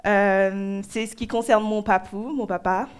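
A young woman from Nigeria, preaching and giving a personal testimony in short phrases with pauses for an interpreter. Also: medium pitch, fairly even intonation, smooth. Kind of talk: sermon in French.

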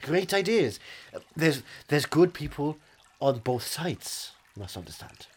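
A man's voice: on the high side, sing-song, measured pace, slightly rough. A man improvising a rambling, mock-pompous persona who keeps calling everything wonderful. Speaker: comedic improviser in character.

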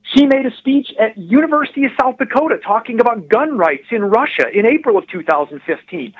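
A high-pitched male voice comes through a dull, narrow telephone line. He is a guest on a talk show, telling his story conversationally and explaining details with animated, precise emphasis. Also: fairly quick, breathy edge. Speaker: political blogger, phone-in guest.

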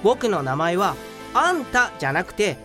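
A woman's voice: medium pitch, very animated, normal speed, fairly bright tone, soft background music. A woman playing an earnest, delusional young male office geek in a comedy radio drama, polite yet pompous and theatrical. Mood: deadpan, self-important.

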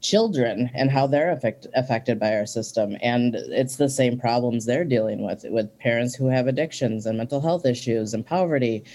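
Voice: mid-range voice, moderate pace, few pauses, mellow. A guest in their forties from Minnesota, talking earnestly and reflectively in a casual interview, with frequent ums.

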